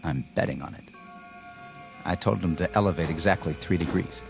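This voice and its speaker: An actor playing a zealous young army lieutenant in a radio drama, commanding and scornful in a clipped way. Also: male voice, sits low, fairly quick, gravelly. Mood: confident, cold. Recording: radio drama with music underneath.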